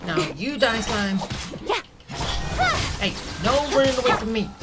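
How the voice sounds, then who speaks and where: Casting: female gaming streamer, slightly high voice, playful casual commentary, loud game music behind. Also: unhurried. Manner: playful muttering and scolding at the game.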